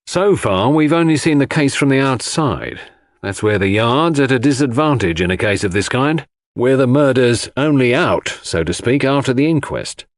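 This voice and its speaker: A man's voice: mid-range voice, expressive, normal speed, no echo, pitched above the performer's own voice, close to an everyday voice. A man voicing a jovial, cocky police inspector with a breezy, jocular, colloquial way of talking. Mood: frank.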